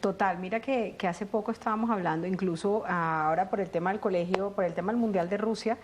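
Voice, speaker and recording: A middle-aged Colombian woman chatting as an interview guest, telling stories with lively asides and acted-out bits of dialogue. Her pitch sits in the middle of the range for a woman. A faint noise hangs behind her voice. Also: quick pace. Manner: animated storytelling, acts out family dialogue. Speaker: guest, actress. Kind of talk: conversational interview.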